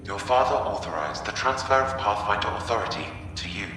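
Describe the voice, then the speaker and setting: A man voicing a calm, formal shipboard artificial intelligence in a video game, measured and matter-of-fact. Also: on the low side, background noise, close to an everyday voice. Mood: calm, solemn. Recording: music behind the voice.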